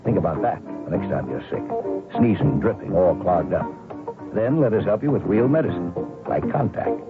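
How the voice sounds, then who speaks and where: A man reading a 1970s radio commercial in a measured, emphatic way. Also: low-pitched, animated. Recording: dull vintage radio recording, loud music.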